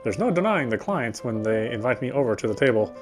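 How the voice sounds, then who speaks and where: A man reads a visual novel's narration aloud during a let's play. His voice has a middling pitch, and game music runs behind it. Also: sing-song, fairly quick.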